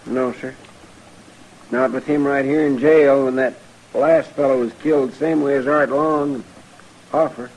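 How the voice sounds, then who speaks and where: An actor playing a folksy, earnest, deferential frontier deputy with a drawling rural American accent in an old-time radio western. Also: male voice, medium pitch, steady tone, a little slow, pauses often, darkish tone. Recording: noisy vintage radio drama recording.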